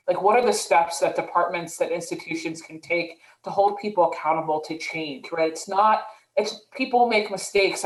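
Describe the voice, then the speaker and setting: Low-voiced woman in an echoey room. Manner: thoughtful, hedging with "sort of" and "like". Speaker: panelist, mental health professional. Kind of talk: webinar panel discussion.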